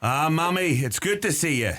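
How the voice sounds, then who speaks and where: An actor playing a polite grown son come home, in a radio play. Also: male voice, fairly high pitch, big pitch swings, moderate pace, a bit bright. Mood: warm. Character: returning elder son, polite and homecoming.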